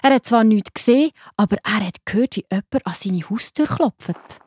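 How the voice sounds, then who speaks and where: A woman with a Swiss German accent narrating a Bible story for children in a gentle, explaining manner. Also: mid-range voice, animated, moderate pace, background noise.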